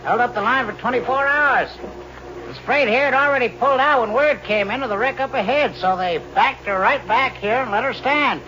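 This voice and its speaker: An actor playing a chatty railroad guard in a 1940s radio drama, with folksy working talk. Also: male voice, very high voice, a little fast, sharp tone. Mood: chatty, businesslike. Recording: muffled, slightly noisy old radio recording.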